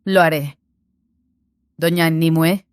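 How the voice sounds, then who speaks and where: A woman's voice: low voice, steady tone, slow, clean tone, dry recording, clean studio sound. A woman playing a curious, respectful young apprentice sorceress in a dramatized audiobook.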